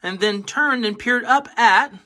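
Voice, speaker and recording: A man reading a children's picture book aloud in an expressive, emphatic storytelling manner. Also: very high voice, unhurried. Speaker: storybook reader on a children's channel.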